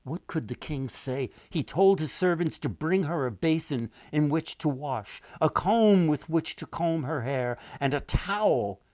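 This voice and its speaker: Slow, animated male storyteller. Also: fairly high pitch, dry recording. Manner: deliberate, dramatic pauses. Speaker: author reading own folktale. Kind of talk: expressive storytelling, read aloud.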